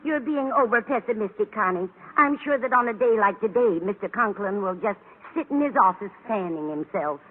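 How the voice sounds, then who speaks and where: A woman's voice: medium pitch, expressive, fairly quick, few pauses, natural voice. An actress playing a chatty, kindly older landlady with an American accent in a vintage radio comedy. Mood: reassuring. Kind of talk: 1940s-50s radio comedy acting. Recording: thin, tinny vintage radio recording.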